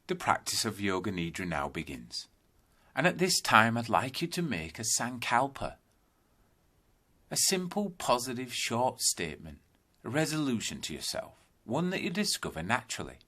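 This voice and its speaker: A man guiding a yoga nidra meditation in calm, deliberate, instructional phrases with space between them. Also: medium pitch. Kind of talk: guided meditation narration.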